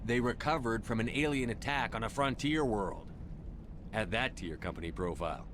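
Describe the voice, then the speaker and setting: An actor playing a composed, pragmatic military commander in a video game. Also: male voice, mid-range voice, normal speed, noisy background, lightly acted. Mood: measured, persuasive.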